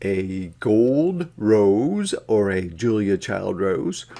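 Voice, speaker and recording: A man reading a children's counting book aloud in a deliberate, interactive way, with sing-song counting and repetition for young listeners. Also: fairly low pitch, big pitch swings, mellow.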